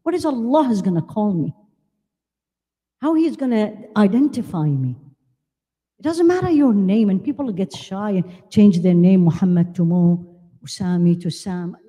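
An older woman born in Iraq, giving an animated religious lecture at a women's conference, holding a handheld mic and asking the audience questions. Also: mid-range voice, moderate pace, slightly rough. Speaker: Islamic lecturer. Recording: long hall reverb.

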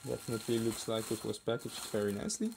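Man, gravelly fry in the voice, unhurried, casual hobby video host, hesitant, crinkling packaging, faint noise. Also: lowish voice, fairly even intonation. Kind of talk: casual unboxing commentary. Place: indoors at a wooden table. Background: clicks and crinkling plastic bags.

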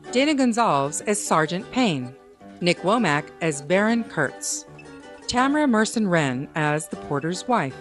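A woman reading scripted credits as the announcer of a radio drama. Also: lowish voice. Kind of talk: scripted narration. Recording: faint plucked-string music behind.

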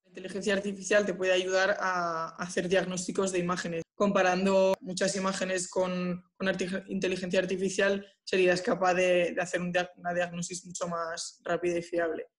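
A woman's voice: on the low side, completely flat delivery, moderate pace, hardly any pauses. A young woman answering interview questions conversationally from home on a video call. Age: young adult. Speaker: interviewee, tech professional.

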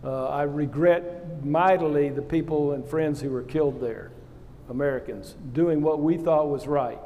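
A man's voice: a little high, fairly even intonation, unhurried, mellow, slight background noise. Blunt older man on an auditorium stage. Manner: blunt, opinionated, plain-spoken. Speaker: panel guest, retired naval officer. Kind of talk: candid panel answer. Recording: long hall reverb.

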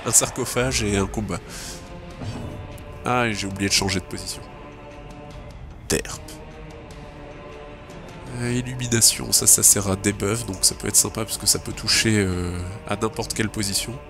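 A man narrates his game run in a relaxed, thinking-aloud way, full of fillers and stray English gaming terms. His voice is low and gravelly, a little stuffed up from a cold. Game music plays clearly underneath. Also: normal speed, long pauses. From French-speaking. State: has a cold. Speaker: let's play gamer. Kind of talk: casual gameplay commentary.

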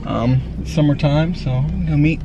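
A man's voice: on the high side, moderate pace, dull audio, very noisy. A man in his twenties chatting casually to camera in a car, his speech slightly impeded by new braces. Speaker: car vlogger. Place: inside a parked or driving car, some outdoors in a parking lot.

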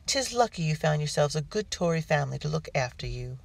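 A woman's voice: sits low, expressive, fairly quick, gravelly, no room sound, full character voice. A woman voicing a fussy, doting loyalist mother in the colonies, cooing and genteel in an audiobook.

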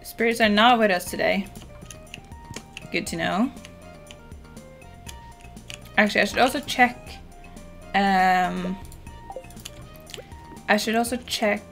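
A woman's voice: medium pitch, vocal fry. Chatty Norwegian woman streaming a game. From Norway. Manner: relaxed, chatty, many "like" fillers, swears casually. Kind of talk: casual chat while gaming. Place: home room, indoors. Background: soft game music.

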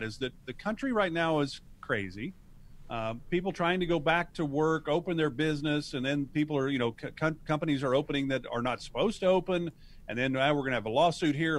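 A male radio co-host talks from a studio mic. His delivery is upbeat and announcer-like as he reads out details for listeners. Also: fairly high pitch. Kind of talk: radio talk show banter. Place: broadcast studio.

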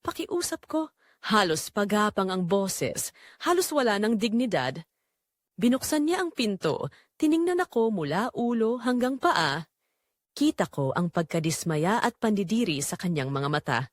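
Female storyteller for a romantic tragedy: emotive, sorrowful, highly melodic delivery, dry close sound. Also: sing-song, unhurried, no echo. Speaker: first-person story narrator. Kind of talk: dramatic scripted romance drama narration.

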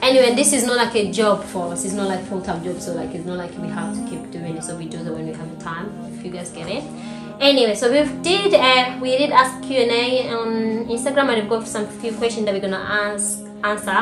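A woman's voice: mid-range voice, fairly quick, few pauses. A woman in her twenties chatting casually with her husband on a sofa at home as a video host. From Rwanda. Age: 20s. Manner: chatty, laughs often, trails off quietly. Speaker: vlogger co-host. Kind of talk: casual chat. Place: living room sofa, indoors. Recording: roomy with background music.

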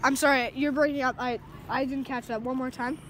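A woman's voice: high-pitched, steady tone, fairly quick. A woman prank-calling a scammer, playing dumb and then mocking him. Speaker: prank caller baiting a scammer. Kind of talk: prank phone call. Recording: noisy phone call.

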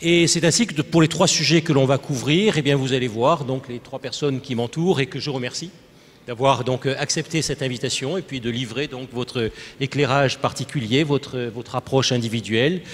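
A man's voice: medium pitch, brisk. Older man moderating, long hall echo. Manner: formal, wordy, many fillers and restarts. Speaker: panel moderator, physician. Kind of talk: moderating a panel discussion. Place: conference stage. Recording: reverberant hall.

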